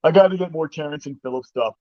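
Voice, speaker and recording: A man's voice: fairly high pitch, fast talker, no echo, muffled recording. Joking man chatting on a dry mic. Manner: jokey, rambling, laughs often. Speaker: streamer, host of a chat. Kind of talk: casual chat.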